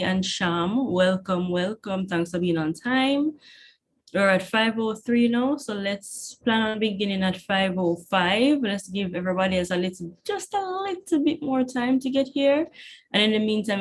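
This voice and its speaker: A young Jamaican woman teaching an online chemistry class, chatty and encouraging, often checking in with her students. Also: medium pitch. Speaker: chemistry tutor. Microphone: computer mic on video call.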